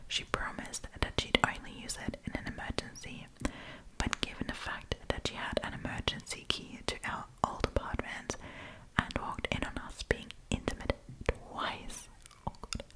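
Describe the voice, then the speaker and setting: A woman's voice: normal speed, low-quality recording. Whispering woman, chatty ASMR storyteller. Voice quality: soft breathy whisper. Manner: chatty, gossipy, addresses listeners as friends. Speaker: host of a storytime channel.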